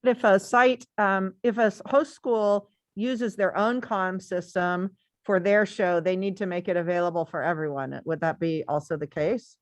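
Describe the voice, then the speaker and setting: A woman's voice: mid-range voice, steady tone, normal speed, no room sound. A woman taking part in a meeting, casually asking a question about the rules.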